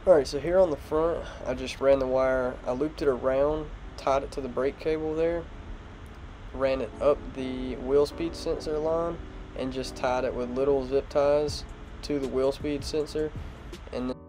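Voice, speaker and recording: A man's voice: medium pitch, unhurried, noisy background. A young man with a stuffed-up nose, casually explaining a truck project in a home garage vlog with voiceover. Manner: relaxed, plain explanatory talk with fillers. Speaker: automotive vlogger. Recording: music playing behind.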